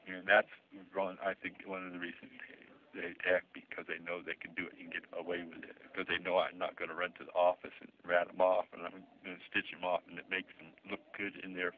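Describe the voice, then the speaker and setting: A man in his sixties with a speech impediment, giving a halting personal account and often repeating words. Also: deep voice, flat delivery, slight background noise. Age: 60s. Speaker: interviewee. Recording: tinny phone-like sound.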